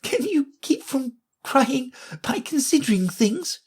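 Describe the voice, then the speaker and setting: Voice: high voice, very animated, slow, a little mellow, pitched above the performer's own voice, exaggerated voice. A reader voicing a polite, earnest young girl of seven for a storybook. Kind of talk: storybook reading.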